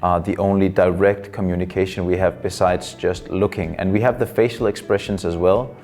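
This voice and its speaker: Deep-voiced Danish man in his twenties. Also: steady tone, darkish tone, soft background music. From Denmark. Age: 20s. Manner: thoughtful, pausing often with fillers. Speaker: psychology student and counselor. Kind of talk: interview answers.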